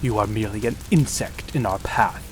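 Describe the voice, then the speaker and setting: A man's voice: on the low side, brisk, noisy background, lightly acted. A man playing a disdainful, ruthless older dragon in human form, cold and formal, in an audio drama. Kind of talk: audio drama character acting.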